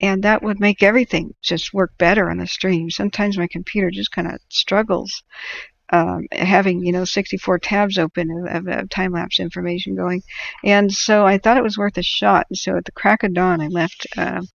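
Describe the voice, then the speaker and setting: A woman hosting a wildfire-tracking livestream, her throat ragged and exhausted, talking to viewers in a rambling, halting way full of fillers. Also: mid-range voice, level tone, creaky, no echo, muffled recording. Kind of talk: casual livestream talk to viewers.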